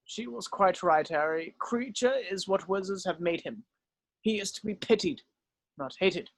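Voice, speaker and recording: A grave old headmaster of a wizard school, played by a man, with a notably high voice and a dry, close sound. He explains things slowly and solemnly, weary and full of gentle regret, as in a dramatic table read. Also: animated, dry recording, heavily acted. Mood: regretful. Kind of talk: dramatic script read-through.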